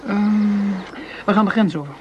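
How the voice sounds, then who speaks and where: A woman's voice: medium pitch, measured pace, mellow, muffled recording, close to an everyday voice. An actress playing a cool, domineering woman who runs a counterfeiting gang in a radio play, with a condescending, commanding manner. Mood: businesslike. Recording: noisy radio play recording.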